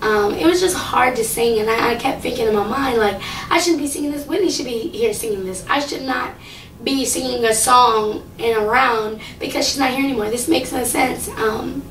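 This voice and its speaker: A woman's voice: normal speed, a bit bright, distant mic, slight background noise. Rambling young woman, echoey room. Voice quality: low, slightly raspy. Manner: rambling, with many fillers. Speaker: singing contestant. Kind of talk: casual talk to camera.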